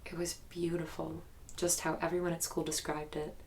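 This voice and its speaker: A woman's voice: low-pitched, level tone, a little mellow, slight background noise, lightly acted. An actress playing a guarded young woman in an audio drama, recounting a haunted childhood memory in a hesitant, reflective, documentary-interview way. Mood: subdued, haunted. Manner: hesitant, reflective storytelling with pauses.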